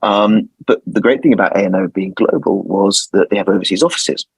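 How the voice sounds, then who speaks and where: A man's voice: fairly low pitch, brisk, breathy edge, dry recording, rough recording. A man in his forties from London with a London accent, answering interview questions thoughtfully and often repeating words as he finds his phrasing. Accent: London English. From London, England. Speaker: guest lawyer.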